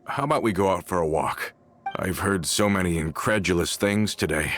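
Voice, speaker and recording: A man's voice: deep voice, moderate pace, fairly bright tone, slight background noise. An actor playing a loyal, easygoing syndicate man in a video game, polite and deferential in manner. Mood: hesitant. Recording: faint music under the voice.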